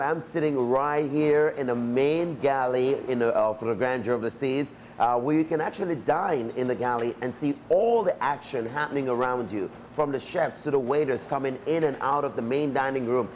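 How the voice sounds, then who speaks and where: A man's voice: medium pitch, darkish tone, slight background noise. A man presenting to camera from a dining table in a ship's galley in an upbeat, promotional way. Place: ship galley dining area.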